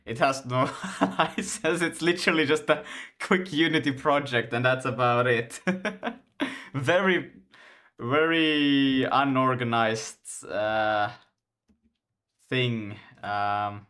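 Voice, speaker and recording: A man's voice: medium pitch, fairly even intonation, slow pace, pushed and bright. A young man with a Finland-Swedish accent, a native Swedish speaker, chatting casually on a live coding stream and rambling with frequent restarts and laughter. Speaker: game developer streaming.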